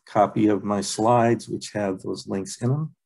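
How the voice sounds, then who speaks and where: A man presenting a software training webinar over a video call, relaxed and halting, with many ums and uhs. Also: fairly low pitch, creaky. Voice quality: plain, unexciting voice. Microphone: computer mic on a video call.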